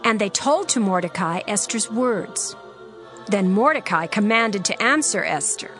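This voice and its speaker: Casting: female narrator for scripture, mid-pitched, formal and measured, soft music behind. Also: lively intonation.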